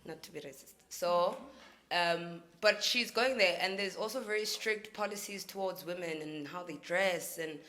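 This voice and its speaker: A woman's voice: lowish voice, moderate pace, sharp tone. A woman on a discussion panel who works as an activist, speaking frankly and confidently with joking asides. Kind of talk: casual panel talk.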